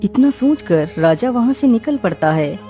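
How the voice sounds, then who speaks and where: A woman's voice: on the high side, expressive, normal speed, dark tone. A woman reading scripted storybook narration for a cartoon. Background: clear background music.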